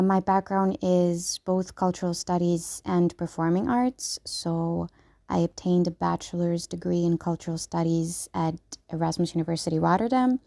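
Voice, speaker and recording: A woman's voice: lowish voice, gravelly, mellow, dry recording, clean studio sound. A woman, presenting a podcast in a scripted way as its host. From Serbia. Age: 20s.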